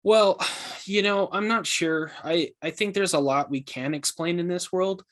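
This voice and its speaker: A man plays an amateur-investigator host in a podcast drama. His voice is a little higher than average. He talks casually and earnestly, feeling his way hesitantly through each thought. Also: measured pace, fairly bright tone. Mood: hesitant, sheepish. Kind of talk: casual scripted podcast interview.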